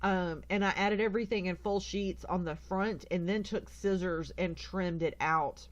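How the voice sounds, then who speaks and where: A woman's voice: fairly low pitch, moderate pace. A woman with a stuffy, congested nose hosts a casual craft tutorial, chatty and rambling, often correcting herself as she goes.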